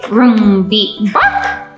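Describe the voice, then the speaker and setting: A woman's voice: medium pitch, wildly swooping pitch, extremely slow, muffled recording, music in the background. A woman in her thirties leading a storytime for small children, speaking in a simple, playful, encouraging way. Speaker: children's library storytime host. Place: medium-large indoor library room with painted mural. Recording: roomy indoor echo.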